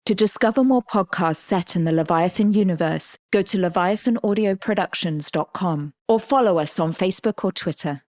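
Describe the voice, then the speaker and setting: A woman's voice: fairly low pitch, normal speed, hardly any pauses, a little mellow, no echo, clean studio sound. A woman delivering scripted dramatic narration as the narrator of an audio drama. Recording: music behind the voice.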